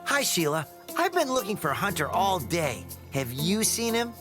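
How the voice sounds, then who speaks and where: A man's voice: high-pitched, big pitch swings, moderate pace, over music. A man voicing a young, brave male dragon hero in a video game cutscene, easygoing and wry.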